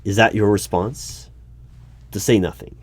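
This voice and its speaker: A man playing a wary, probing hiring manager in a one-sided audio roleplay, speaking haltingly with stammers and repeats. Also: lowish voice, fairly even intonation, slightly rough, dry recording. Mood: guarded questioning. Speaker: hiring manager at a secret agency. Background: computer keyboard typing.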